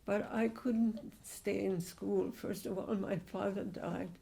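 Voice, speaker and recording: Gravelly-voiced elderly woman. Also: mid-range voice, fairly even intonation, mellow. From Hungary. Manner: slow, halting, with frequent restarts. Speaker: Holocaust survivor speaker. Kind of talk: answering audience questions.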